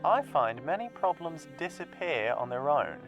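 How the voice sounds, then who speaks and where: An actor playing a polite, guarded male secretary in a video game, speaking in a formal, measured way with a British accent. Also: fairly high pitch, very animated, a bit bright, muffled recording, soft background music. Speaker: dean's assistant.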